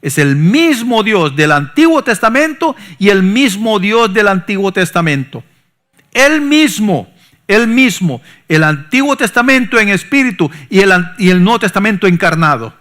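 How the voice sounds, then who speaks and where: A middle-aged pastor, preaching a sermon that swings from forceful emphasis to soft, low asides. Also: male voice, big pitch swings, moderate pace. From Honduras. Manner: preaching with sudden soft drops and rising emphasis.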